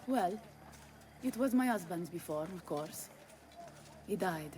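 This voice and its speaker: An actress playing a bold, sharp-tongued Italian noblewoman who rules a city, in a video game cutscene with an Italian accent. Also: on the low side, animated, slow, slight background noise. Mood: flirty, assured. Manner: brash, swaggering, salty-tongued.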